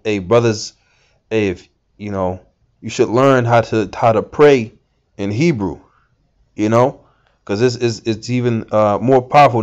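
A man's voice: mid-range voice, muffled recording. A man giving informal scripture teaching, rambling with many fillers and restarts. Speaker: Bible teacher.

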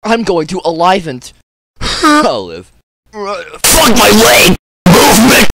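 Man, comedic voice lines, exaggerated delivery, slow-paced, gravelly creak, slight background noise. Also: high voice, sing-song. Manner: exaggerated, theatrical, swearing outbursts. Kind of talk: comedic game voice lines in put-on character voices.

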